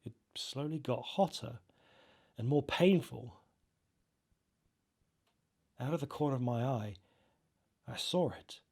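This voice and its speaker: A man narrating a first-person horror story in an expressive, dramatised way with halting pauses. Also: mid-range voice, vocal fry, slightly rough. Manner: expressive, halting, acts out reactions.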